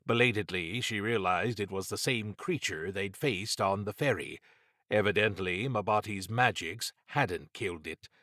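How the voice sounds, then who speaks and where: A man narrating horror fiction as an audiobook, with measured, dramatic storytelling. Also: fairly low pitch, pushed and bright, no room sound, clean studio sound.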